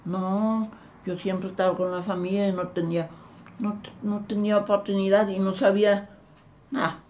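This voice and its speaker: An elderly woman with a German accent, recalling her past in an interview, halting and repeating words as she searches for them. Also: mid-range voice, level tone, a little mellow, a little hiss or hum. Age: older. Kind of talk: recounting memories in an interview.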